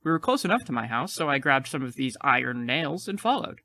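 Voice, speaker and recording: A man's voice: mid-range voice, sing-song, fairly quick, pushed and bright, dry recording. A man playing a frightened but defiant, clever child in an audio play. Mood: earnest explaining.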